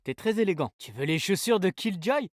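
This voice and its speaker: A man's voice: high-pitched, lively intonation, fairly quick, no room sound, studio quality. A man voicing a laid-back young male agent in a video game, casual, slangy and upbeat, speaking in. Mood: cocky, teasing.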